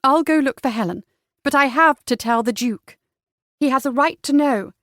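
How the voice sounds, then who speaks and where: Woman playing a high-voiced, defiant young gentlewoman. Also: lively intonation, moderate pace, dry recording, clean studio sound, lightly acted. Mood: resolute. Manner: forthright, indignant, emphatic. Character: spirited, defiant young gentlewoman standing up to parents. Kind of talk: historical romance audiobook acting.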